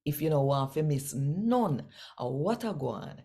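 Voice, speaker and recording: A woman in her sixties with a Jamaican accent, reading scripture aloud as an expressive storyteller, conversational and emphatic. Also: low voice, measured pace. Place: small indoor room at home.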